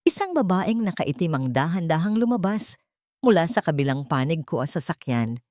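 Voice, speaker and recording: A woman narrating a dramatic audio story in a measured storytelling manner. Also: on the low side, a little mellow, dry recording, clean studio sound.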